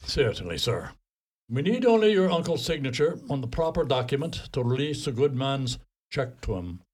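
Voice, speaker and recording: A man's voice: mid-range voice, sing-song, normal speed, darkish tone, slightly rough. A man acting an officious, exasperated, rule-bound Irish post office clerk in a radio play. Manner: fussy, officious.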